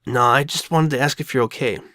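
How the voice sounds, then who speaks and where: A man's voice: mid-range voice, brisk, pushed and bright, no room sound, clean studio sound, close to an everyday voice. A man voicing a caring, perceptive young boy dragon with a casual, direct, youthful manner in a dramatic fan fiction reading. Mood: caring, frank.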